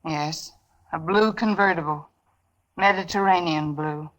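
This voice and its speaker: A woman's voice: lowish voice, a little slow, fairly bright tone, low-quality recording. An actress playing a poised, sardonic career woman in her thirties, dry and polished, in 1940s radio drama style. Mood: cool, composed. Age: 30s. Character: poised, sardonic best-dressed career woman confessing to murder. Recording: old radio broadcast with music underneath.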